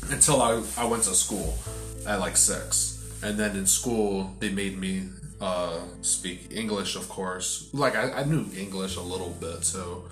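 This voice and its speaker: Young man, native Sicilian speaker, low voice, loose filler-heavy chat, roomy echo, music underneath. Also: unhurried, fairly bright tone. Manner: rambling, heavy on fillers and swearing. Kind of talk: casual chat.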